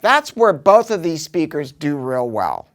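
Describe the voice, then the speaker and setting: A man's voice: fairly high pitch, big pitch swings, a little slow. A man giving a casual product review, talking informally and emphatically with some salty language.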